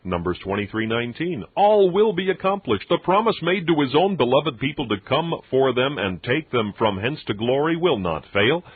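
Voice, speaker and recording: A man reads religious text aloud as an audiobook narrator, in a solemn, measured and emphatic preacherly manner. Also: mid-range voice, big pitch swings, husky, no echo. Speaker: audiobook reader. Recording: dull muffled radio-like sound, very dry.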